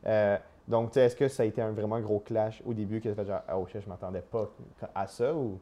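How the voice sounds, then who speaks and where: Québécois man interviewer, warm dark tone. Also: on the low side, brisk, muffled recording. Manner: relaxed, frequent short acknowledgements. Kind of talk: casual interview chat.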